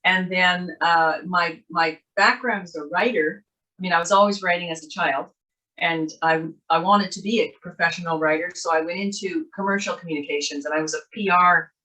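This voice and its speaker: A woman's voice: on the low side, moderate pace, sharp tone, poor audio. An older woman from Canada, a guest author, chatting in an interview and telling her life story in a rambling way with asides, over a video call. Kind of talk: casual interview chat.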